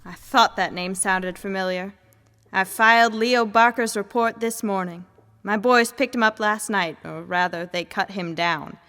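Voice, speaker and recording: A woman's voice: mid-range voice, a little slow, sharp tone. A woman playing a composed, businesslike police chief in an old-time style radio drama. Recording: long reverb.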